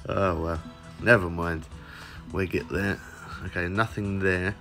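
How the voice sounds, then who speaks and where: A man giving a casual walkthrough of a fishing game's update, his voice croaky from lack of sleep, chatting in a rambling, self-correcting way as he searches the menus. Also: deep voice, steady tone, slow, sharp tone, soft background music. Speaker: gaming YouTuber. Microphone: phone recording mic.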